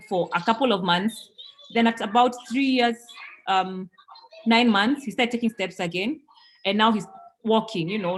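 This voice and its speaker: A woman in her thirties from Kenya tells her family's story in an interview. She speaks earnestly and in a measured way, with frequent ums, at a middle pitch. Faint noise and small clicks sit under her voice. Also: poor audio. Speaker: interview guest, parent. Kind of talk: conversational storytelling.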